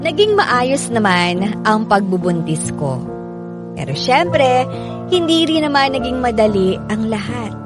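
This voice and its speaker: Radio drama narrator: earnest young mother, reflective, measured delivery over prominent music. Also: on the high side, big pitch swings. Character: Mitch, earnest young wife and mother recounting her story. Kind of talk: scripted first-person radio drama narration. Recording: music bed under voice.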